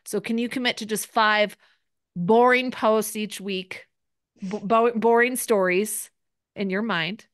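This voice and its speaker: A woman's voice: mid-range voice, fairly even intonation, slow pace, dry recording, clean studio sound. A woman coaching people in a live group session, speaking in a direct and encouraging way. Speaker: business coach.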